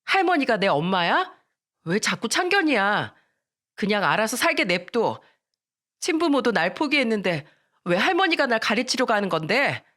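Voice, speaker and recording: A touchy teenage girl in an audio story, acted by a woman, lashing out at whoever is near. She talks in curt, confrontational bursts, and her pitch jumps about in a very animated way. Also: normal speed. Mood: bitter, defiant.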